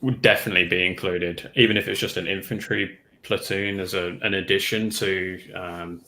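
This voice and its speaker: Deep-voiced man chatting over background noise. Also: steady tone, moderate pace, sharp tone. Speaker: livestream panelist. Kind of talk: casual hobby discussion.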